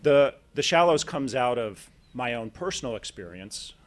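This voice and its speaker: A middle-aged man gives a personal account, halting and repeating words as he goes. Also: medium pitch, expressive. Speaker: technology writer.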